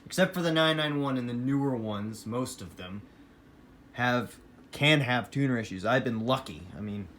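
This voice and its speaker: A man's voice: medium pitch, moderate pace, a bit bright. A man with an American accent talking casually and enthusiastically in a rambling, informal hobbyist show-and-tell style. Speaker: hobbyist vlogger. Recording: noisy room with clear background noise.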